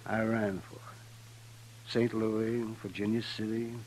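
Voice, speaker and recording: An actor playing an aging, wry and weary frontier doctor in an old-time radio western, musing with dramatic pauses. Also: male voice, lowish voice, flat delivery, darkish tone. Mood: weary, confessional. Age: middle-aged. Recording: noisy vintage 1950s radio recording.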